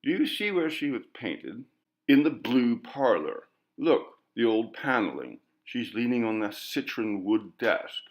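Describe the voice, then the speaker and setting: A man voicing a curious, theatrical gentleman visitor in an audiobook ghost story. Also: mid-range voice, big pitch swings, unhurried, voice pushed up. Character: Strammer, curious, brisk gentleman visitor probing a haunted house. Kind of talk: audiobook character dialogue.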